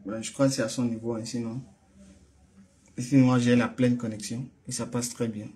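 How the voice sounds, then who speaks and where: A man's voice: medium pitch, level tone, a little fast, dark tone. West African French-speaking man, casual host. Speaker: host of a religious phone-in. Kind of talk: casual phone conversation.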